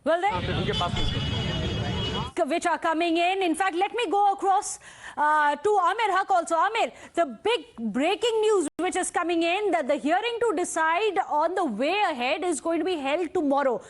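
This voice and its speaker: Female TV news anchor, Indian English, high voice, emphatic live breaking-news delivery, hammers key points. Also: moderate pace.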